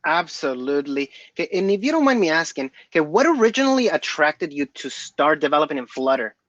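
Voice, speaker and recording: A man's voice: a little high, very animated, brisk, fairly bright tone, dry recording, highs cut off. A man co-hosting an online developer event over a video call, friendly, with a frequent "okay" and "absolutely". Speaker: co-host. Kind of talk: event hosting, housekeeping announcements.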